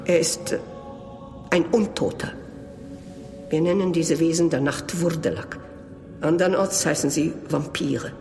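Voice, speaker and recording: An actor playing a grave, pious monk in a horror audio drama, speaking solemnly and portentously. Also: male voice, on the high side, unhurried, pauses often, a little mellow, faint music behind. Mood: grave, foreboding.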